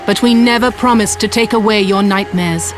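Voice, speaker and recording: A woman playing a regal, solemn goddess in a video game, speaking in a measured, formal way. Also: mid-range voice, steady tone, natural voice. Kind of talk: dramatic video game acting. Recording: music underneath.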